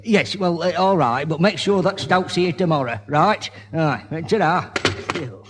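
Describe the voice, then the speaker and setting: Man as riled pub landlord, northern English accent, high voice, flustered bluster, long reverb, old radio sitcom. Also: measured pace, noisy background, close to an everyday voice. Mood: harried.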